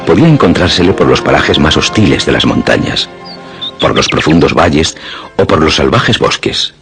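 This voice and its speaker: A man narrating a suspense and horror radio drama in a solemn, literary, deliberate manner. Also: extremely low pitch, very animated. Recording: narration over loud music.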